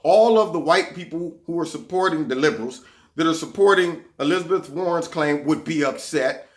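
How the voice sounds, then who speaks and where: A man's voice: a little high, moderate pace. Sarcastic man hosting a political vlog. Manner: sarcastic, repeats words when heated. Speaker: vlog host.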